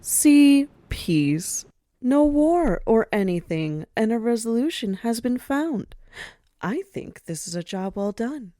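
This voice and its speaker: A woman playing a commanding mafia madam in an audio drama, measured and mock-sweet with authority underneath. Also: fairly high pitch, animated, dark tone. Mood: smug. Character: commanding mafia madam, silkily polite yet menacing. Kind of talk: audio roleplay acting.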